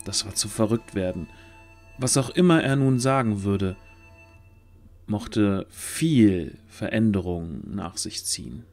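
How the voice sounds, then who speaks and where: A man's voice: lowish voice, lively intonation, slow, a little mellow. A man narrating a scripted fantasy story as the narrator of an audio drama. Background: background music.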